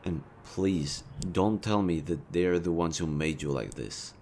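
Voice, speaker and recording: A man performs a cocky male villain with a deep voice, made for an intimate audio roleplay. He speaks directly to the listener in a loose, conversational way. His manner is teasing and smug, with real care and earnestness underneath. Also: measured pace, darkish tone, slight background noise. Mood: concerned, indignant. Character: smug, teasing yet caring male supervillain, city-wide rival.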